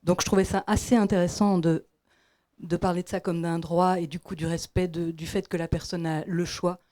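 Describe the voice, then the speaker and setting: A woman from Belgium, asking a reflective question from the audience with many pauses and restarts. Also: fairly low pitch, little pitch movement, mellow. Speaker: audience member. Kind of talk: question from the floor.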